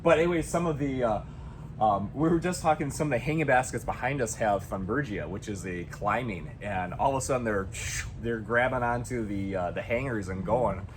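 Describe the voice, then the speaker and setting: A man with a northern Wisconsin accent chats casually inside a greenhouse, telling stories and laughing often. His voice sits at an ordinary pitch for a man. Clear background noise runs steadily under the talk. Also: fairly quick. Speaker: greenhouse owner and co-host. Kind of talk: casual chat.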